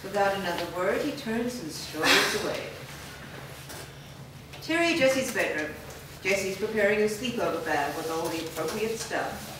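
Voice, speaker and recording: Woman narrating script directions, mid pitch, far from mic in a noisy room. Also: lively intonation, moderate pace. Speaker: stage directions reader. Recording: echoey room, distant mic, clear noise.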